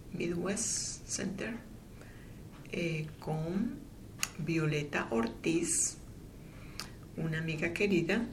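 A woman interviewing a friend for an oral history, gentle and hesitant with many fillers. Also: deep voice, vocal fry, a little hiss or hum.

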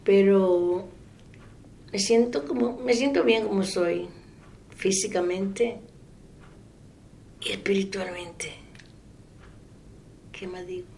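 Older Dominican woman in her eighties, gravelly and unhurried, soft-spoken interviewee, faint room hiss. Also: mid-range voice, steady tone. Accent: Dominican Spanish. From Dominican Republic. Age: 80s. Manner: soft-spoken, trailing off into quiet. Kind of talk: oral history interview.

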